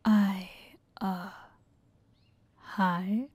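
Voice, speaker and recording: An actress playing a timid, bewildered young goddess in a fantasy audio drama, hesitant, faltering and soft-spoken. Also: female voice, mid-range voice, flat delivery, very slow, breathy edge, no echo. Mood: timid, confused.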